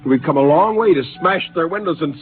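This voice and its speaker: Man doing a brooding idealist crewman, bitter and intense, lively pitch, tinny old radio sound. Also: fairly quick, soft background music, natural voice. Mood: grim. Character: brooding idealist crewman Spender, grieving the dead Martians. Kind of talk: 1950s radio drama acting. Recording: narrow-band vintage radio broadcast.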